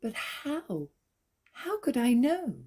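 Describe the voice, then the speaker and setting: Gullible, emotional wife played by a woman, soft dark tone, swooping pitch, hesitant theatrical delivery. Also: very animated, slow, slightly rough, exaggerated voice. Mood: anxious, bewildered. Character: naive, tearful, easily fooled jealous young wife. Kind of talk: theatrical stage comedy acting.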